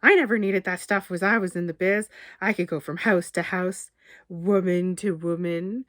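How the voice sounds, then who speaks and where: A woman voicing a loud, hokey, boastful male lawyer ghost in a short story, brash and excitable. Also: fairly low pitch, normal speed, dry recording, studio quality, natural voice. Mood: boastful, jeering. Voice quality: loud fake hokey laugh. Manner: brash, stuttering with excitement, repeats himself.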